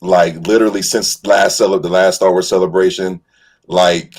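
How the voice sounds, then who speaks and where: A man's voice: low-pitched, fairly even intonation, moderate pace, crowd noise. A man talking casually and enthusiastically as a fan on a panel, effusive and slangy. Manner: effusive, slangy, addresses listeners as bro and man.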